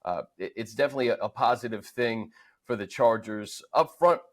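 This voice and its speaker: A man's voice: medium pitch, fairly quick. An American man hosting a sports show, casually discussing players and running through statistics.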